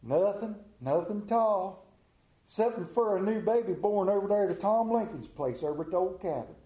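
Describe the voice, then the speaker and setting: An easygoing backwoods neighbor shrugs things off in a lazy Appalachian drawl, as a man acts him out. His voice is pitched up a little and has a warm, mellow tone. A roomy echo hangs around it. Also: animated, moderate pace. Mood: offhand.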